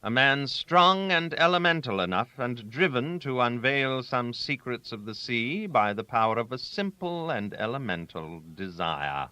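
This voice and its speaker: A man narrating a literary story aloud, with a theatrical, wry manner and deliberate emphasis on key words. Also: mid-range voice, sing-song, sharp tone, clean tone, no echo, low-quality recording. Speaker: audiobook narrator.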